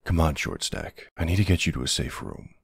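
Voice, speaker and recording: A man playing a gruff but tender, protective older man in an intimate ASMR boyfriend roleplay, speaking hesitantly with casual endearments. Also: rumbling low, fairly quick, no room sound, studio quality, full character voice. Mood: protective, reassuring. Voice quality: gravelly, husky. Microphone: close, dry microphone.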